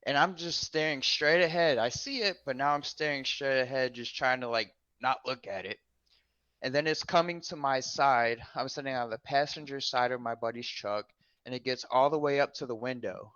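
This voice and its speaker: Animated storyteller man, smooth voice. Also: mid-range voice, normal speed, no room sound. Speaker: podcast co-host. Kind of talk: telling a personal ghost story. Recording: slightly dull lo-fi sound.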